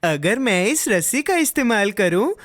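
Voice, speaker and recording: A woman voicing a mischievous, boastful, excitable young boy in a children's cartoon, with a playful, expressive delivery. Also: medium pitch, normal speed, slight background noise, natural voice. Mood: scheming, confident. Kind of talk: Hindi children's cartoon dubbing.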